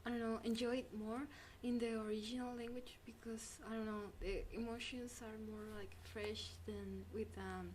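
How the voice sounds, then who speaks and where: A woman's voice: fairly high pitch, level tone, normal speed, few pauses, a little mellow, slight background noise, poor audio. A young woman, a Spanish-speaking student, answers interview questions hesitantly with many fillers and restarts. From Spanish-speaking country. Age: young adult. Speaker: student guest.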